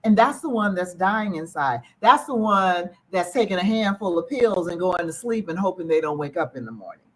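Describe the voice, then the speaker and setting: A woman's voice: lowish voice, expressive, moderate pace, hardly any pauses, low-quality recording. A woman hosting an interview, affirming her guest and speaking with empathy and emphasis. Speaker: show host. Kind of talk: interview conversation.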